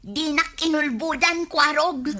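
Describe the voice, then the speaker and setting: Nangato ti timekna a balasang. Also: female voice, high-pitched, takes it slowly, sharp tone, heavily acted. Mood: indignant. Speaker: radio drama actor. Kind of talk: comedic Ilocano radio drama acting.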